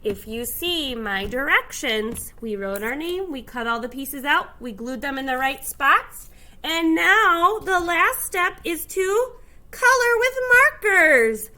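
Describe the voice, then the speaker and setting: A woman's voice: high-pitched, lively intonation, takes it slowly, pushed and bright. A woman teacher giving step-by-step instruction to young children, phrasing things simply, stressing key words and asking guiding questions. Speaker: elementary school teacher.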